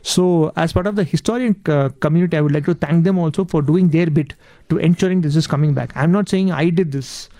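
A man who speaks Tamil natively, with a Tamil Indian accent and a mellow, creaky voice in a dry recording. He answers as an interview guest, measured and courteous, often restating a point for emphasis. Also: on the high side, no echo.

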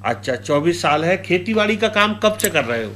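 Male radio moderator with a fairly high voice, polite and guiding. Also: big pitch swings, normal speed, fairly bright tone, a little hiss or hum. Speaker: host and moderator. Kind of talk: radio panel discussion.

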